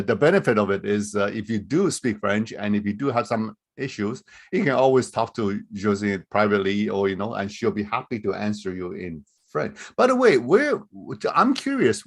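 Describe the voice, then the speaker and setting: A man with a somewhat low voice walks listeners through the steps over a video call. He talks as a recruiter at a live webinar, loosely and conversationally, laying things out one point at a time. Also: lively intonation, normal speed, hardly any pauses. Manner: loose, conversational, explaining step by step. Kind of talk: live online webinar talk.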